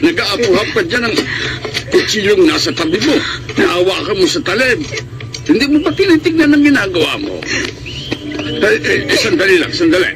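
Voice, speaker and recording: Emotional old Filipino husband, swooping voice. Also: male voice, sits high, big pitch swings. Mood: worried, exasperated. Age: older. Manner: halting, emotional. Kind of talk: classic radio drama acting. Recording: radio drama with loud music behind.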